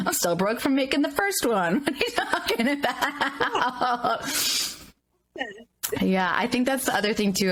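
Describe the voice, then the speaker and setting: A woman hosting a casual chat in an animated, informal and wry way. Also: mid-range voice. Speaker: podcast host. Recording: clear background noise, mouth clicks.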